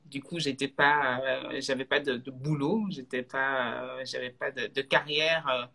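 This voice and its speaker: Bright-voiced woman from Belgium on a call. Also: very deep voice, steady tone, measured pace, vocal fry, breathy edge. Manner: hesitant, many fillers and restarts. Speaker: guest, entrepreneur. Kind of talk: casual live video chat. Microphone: video call.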